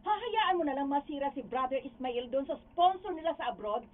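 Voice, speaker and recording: A woman's voice: sits high, animated, a little fast, far from the mic. A woman playing an indignant, principled wife in a Filipino radio drama, emphatic and scolding, with a Filipino accent. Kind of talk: amateur radio drama acting. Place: radio recording studio. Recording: muffled phone-like audio with background noise.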